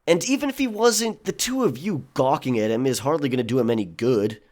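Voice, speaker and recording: A man's voice: medium pitch, expressive, a little fast, a little mellow, lightly acted. An actor playing a brusque, exasperated male pirate giving orders in an audio drama. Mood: exasperated, commanding.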